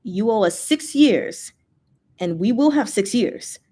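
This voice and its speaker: A woman voicing a strict ghost who runs gambling den staff, brisk, firm and businesslike, in a storybook reading. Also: mid-range voice, lively intonation, warm tone. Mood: stern.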